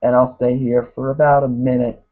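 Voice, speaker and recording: A man reviewing a wrestling show in a rambling casual way, saying "guys" constantly and getting hoarse. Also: mid-range voice, level tone, moderate pace. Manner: mocks wrestlers in put-on voices. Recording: tinny phone-like lo-fi audio.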